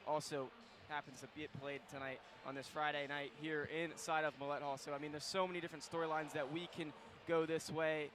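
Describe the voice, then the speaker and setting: A young man hosting a college basketball pregame show on student radio, courtside in a packed arena, talking excitedly and rambling with fillers. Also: a little high, a little fast, few pauses. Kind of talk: live basketball pregame talk. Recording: faint arena noise behind.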